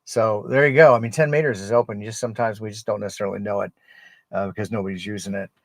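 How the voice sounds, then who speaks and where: A man with a fairly low voice, recorded close and dry. He talks casually as the host of an amateur radio livestream, unscripted and halting, often pausing to find his next words as he rambles along. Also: fairly even intonation, creaky, dry recording. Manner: halting, rambling, thinking aloud with frequent pauses and hesitations. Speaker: live stream host and amateur radio operator.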